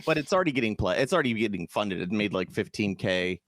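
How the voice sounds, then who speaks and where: A man's voice: fairly low pitch, lively intonation, fast talker, dry recording, clean studio sound. A man co-hosting a casual gaming podcast, joking and laughing often, who slips into silly put-on accents. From Polish background. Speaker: podcast co-host. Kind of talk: casual chat.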